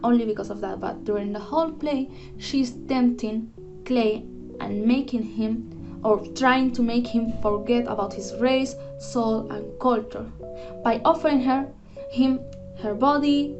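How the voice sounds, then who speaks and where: A young woman, a student giving a prepared book review in an earnest, careful way with a few restarts. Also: on the high side. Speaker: student presenter. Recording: dull lo-fi voice with music behind. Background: guitar music.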